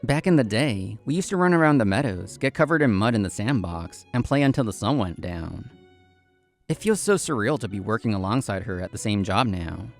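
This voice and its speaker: Earnest young male café manager narrating a manga story, played by a man; lively intonation, gravelly edge. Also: lowish voice, brisk, faint music behind. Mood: earnest, reflective. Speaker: narrator and lead actor of a manga story video. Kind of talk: scripted first-person narration.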